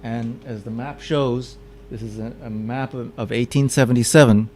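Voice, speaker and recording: Halting male lecturer, faint room hiss. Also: medium pitch, steady tone, a little mellow. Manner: halting, repeats words often. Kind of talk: public lecture with slides. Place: meeting room with audience.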